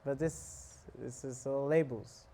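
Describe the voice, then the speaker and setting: Man with a mellow voice doing a chatty scripture talk, jokes around with the crowd, bit of background hum. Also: mid-range voice, steady tone, slow pace. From South Africa. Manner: conversational, joking, asks the audience questions. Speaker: monk giving a scripture talk. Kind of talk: casual sermon to a live audience.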